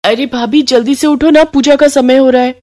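A woman's voice: sits high, steady tone, moderate pace, dark tone, pitched above the performer's own voice, close to an everyday voice. A woman voicing a dutiful, crafty young daughter-in-law in a cartoon story. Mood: coaxing.

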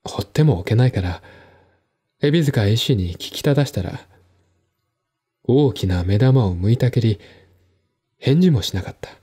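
Man acting a composed, mellow-voiced gentleman explaining. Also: mid-range voice, animated, normal speed, pauses often, slightly rough. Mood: measured, reflective. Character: composed, articulate man recounting his stepmother's death. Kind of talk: one-man audio drama dialogue.

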